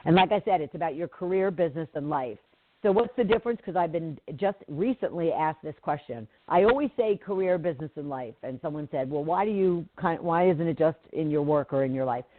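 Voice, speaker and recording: A woman's voice: low voice, expressive, fairly quick, a little hiss or hum. Nasally woman hosting call-in radio. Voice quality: nasally from pollen. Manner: chatty, rambling, frequent fillers and restarts. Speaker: radio show host. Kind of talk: casual live talk radio monologue. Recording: tinny phone-like line.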